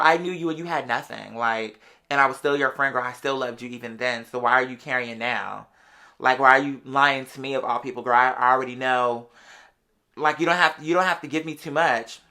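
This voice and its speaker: A bright, forceful American voice of someone in their late twenties, pitched in an in-between register. The delivery is casual and gossipy, full of slang and sassy interjections, like confiding in a close friend. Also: quick pace. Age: late 20s. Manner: conversational and sassy, heavy slang, often interjecting "girl".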